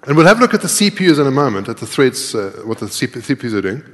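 A man's voice: mid-range voice, very animated, rapid-fire, fairly bright tone. A middle-aged man giving a live coding lecture, explaining and thinking aloud as he types. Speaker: conference speaker. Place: large tiered lecture hall. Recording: echoey lecture hall with long reverb.

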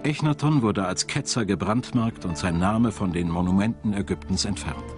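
Low-voiced male documentary narrator over music. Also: normal speed. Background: music behind the voice-over.

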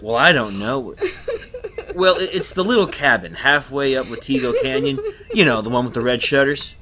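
A man acting a flustered, folksy working man in a retro radio drama, halting and colloquial. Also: mid-range voice, crowd noise, natural voice.

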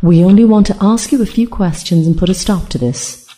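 A woman acting in an audio drama as a calm, coaxing police interviewer with a measured manner. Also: mid-range voice, natural voice.

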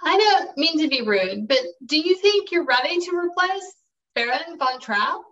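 A woman's voice: high-pitched, lively intonation, moderate pace, a bit bright. A woman playing a polite, dutiful assistant. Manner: deferential, polite. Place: room with wooden door. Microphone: webcam. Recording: distant, dull webcam sound.

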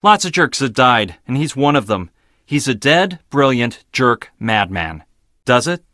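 An actor playing an arrogant, blunt, logical research scientist in a video game, with a clipped, dismissive, pedantic delivery. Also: male voice, medium pitch, sing-song, unhurried, pushed and bright, no echo, studio quality. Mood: dry, matter-of-fact.

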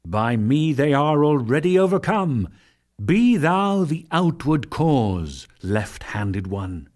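A man voicing a majestic, commanding male god in a dramatic scripture reading, solemn and measured with weighty pauses. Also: fairly high pitch, sing-song, darkish tone.

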